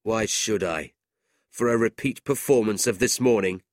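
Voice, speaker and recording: A man's voice: fairly low pitch, moderate pace, dry recording, clean studio sound, close to an everyday voice. A man voicing a scornful, hard-hearted old moneylender in a storybook reading. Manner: scornful and proud.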